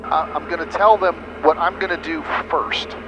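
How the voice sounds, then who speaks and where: Higher-voiced American male flight instructor, cockpit noise. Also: steady tone, normal speed, highs cut off. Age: middle-aged. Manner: explanatory, step-by-step. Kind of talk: hands-on instruction. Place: small airplane cockpit in flight. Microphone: aviation headset. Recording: headset audio with engine noise.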